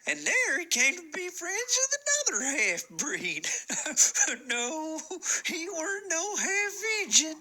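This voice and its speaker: A cackling old frontier codger. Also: male voice, very high-pitched, huge pitch swings, slow, few pauses, sharp tone, exaggerated voice. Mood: earnest, sorrowful. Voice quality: wheezy, cackling old-timer drawl. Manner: rambling and folksy, trips over his words. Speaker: narrator. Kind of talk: old-time radio western acting.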